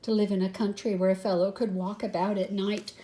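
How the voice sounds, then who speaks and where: An older woman reading a picture book aloud as a grandmother, expressive and unhurried, with some hesitation. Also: medium pitch. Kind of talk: storybook read-aloud with soft asides.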